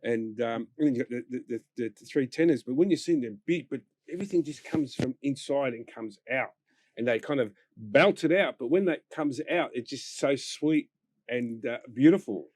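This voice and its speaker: A man's voice: mid-range voice, normal speed, a little mellow, dry recording. Male interviewer, dry close sound. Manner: rambling questions with fillers, laughs along. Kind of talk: casual interview questions.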